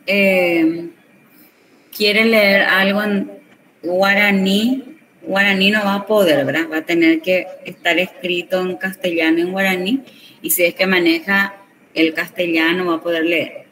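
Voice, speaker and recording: A woman teaching a class, explaining slowly and often checking that students follow. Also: fairly low pitch, fairly bright tone. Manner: slow explanatory, checks understanding with tag questions. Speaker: Guarani teacher. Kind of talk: classroom lesson. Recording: recorded from a distance with faint background noise.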